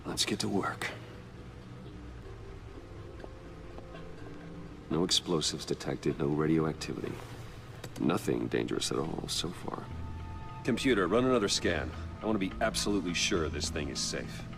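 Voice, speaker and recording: Grim masked crimefighter for a game, super deep growly fry, serious, short lines. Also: male voice, animated, moderate pace, full character voice. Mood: grim, focused. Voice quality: gravelly. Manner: terse, serious. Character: brooding masked male vigilante detective, grim and resolute. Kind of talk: video game acting. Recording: music behind the voice.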